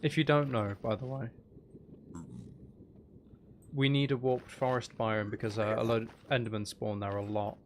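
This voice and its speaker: A man's voice: mid-range voice, little pitch movement, normal speed, pauses often, slight background noise. A man giving casual gameplay commentary, rambling and thinking aloud to himself as a gaming video host.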